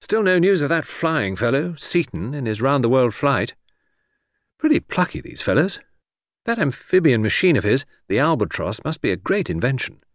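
A man plays an earnest gentleman sidekick in a mystery audiobook. His speech is hearty, eager and old-fashioned, leaping widely in pitch, captured very dry. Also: sing-song, moderate pace, dry recording, natural voice. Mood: hearty, admiring. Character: earnest, excitable, patriotic English gentleman friend of detective.

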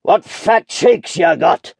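Growling, gravelly, menacing convict. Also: male voice, fairly high pitch, lively intonation, takes it slowly, a little mellow, no room sound, clean studio sound, voice pushed up, full character voice. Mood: menacing hungry. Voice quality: rough, growling. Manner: barking threatening commands. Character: menacing, ragged, starving male escaped convict. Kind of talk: audiobook character acting.

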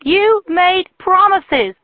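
A shrieking, furious young Southern woman, her voice pitched far up and loud as she hurls accusations. The sound is dry and tinny, like a phone line. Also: expressive, very slow, no room sound, full character voice. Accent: American Southern. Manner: shrill, screaming accusations.

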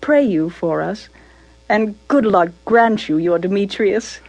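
A woman's voice: mid-range voice, animated, slow, a little mellow, low-quality recording. An actress playing a devoted, resolute young Athenian woman in love, speaking Shakespearean verse sincerely in an audio theater production. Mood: earnest. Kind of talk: full-cast Shakespearean audio theater acting.

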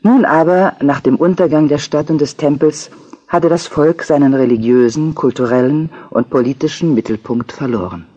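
A man's voice: a little high, normal speed, dark tone, dull audio. A man reading scripted documentary narration in a factual manner. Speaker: audiobook narrator.

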